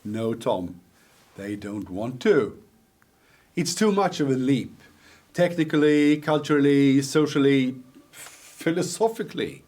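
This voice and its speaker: Grave male finance expert, husky voice, formal lecturing tone, mockumentary film. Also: medium pitch, animated, slow pace, a little mellow. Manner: formal, lecturing, emphatic lists.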